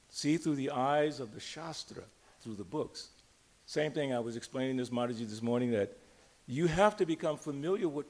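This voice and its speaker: Older guy giving a temple talk, voice a bit high, chatty but emphatic, asks the room questions, slows down on key words. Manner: conversational, emphatic, slowing and drawing out key words. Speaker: religious teacher giving a temple discourse. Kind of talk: informal sermon with rhetorical questions to listeners. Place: speaking live to a gathering in a temple.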